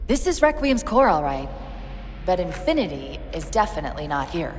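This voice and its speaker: An actress playing a witty, analytical female AI companion in a video game, explaining things briskly with dry humor. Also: fairly low pitch, sing-song. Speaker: game character. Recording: game audio with music.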